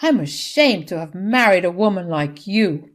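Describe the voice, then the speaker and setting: A woman voicing an angry, principled older husband who speaks sternly and forcefully in a dramatic story. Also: lowish voice, expressive, moderate pace, lightly acted. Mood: indignant anger. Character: angry, morally firm older husband divorcing his wife.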